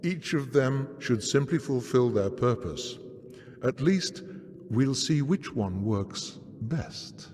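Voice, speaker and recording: An actor playing a cynical, dismissive man in a video game cutscene, speaking in a theatrical, deliberate way. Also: medium pitch, expressive, darkish tone. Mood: coolly musing. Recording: long hall-like reverb.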